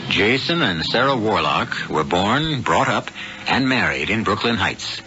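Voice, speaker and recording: A man narrating a scripted radio mystery as host, ominous, theatrical and deliberate. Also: on the low side, lively intonation. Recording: dull old radio recording, loud music. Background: loud music.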